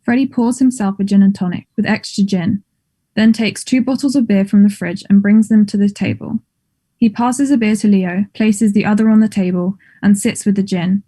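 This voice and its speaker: A woman's voice: mid-range voice, steady tone, normal speed, dark tone, slightly rough. A woman reading stage directions as scripted narration for a play, in a matter-of-fact manner.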